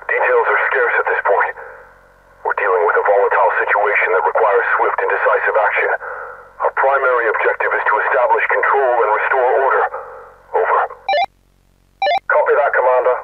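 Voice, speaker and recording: A man's voice: on the low side, fairly even intonation, a little fast, no echo, distant mic. A man playing a terse, disciplined soldier speaking procedurally over a military radio in an audio drama. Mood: urgent, businesslike. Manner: clipped, procedural. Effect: military radio filter. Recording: narrow tinny radio transmission.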